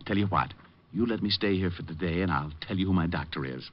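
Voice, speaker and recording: A man playing a suave, wisecracking private detective in an old radio drama, glib, sardonic and quick-witted. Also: low voice. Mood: playfully insistent. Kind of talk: 1950s radio detective drama acting, narration and dialogue. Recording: dull old radio recording, music behind.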